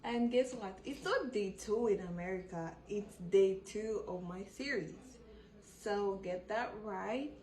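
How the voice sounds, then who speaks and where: A Zambian woman talks to camera in an unhurried, sassy, playful way. Her voice sits in a middle pitch and carries an echoey room around it. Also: a little mellow. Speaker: vlogger. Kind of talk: casual chat to camera.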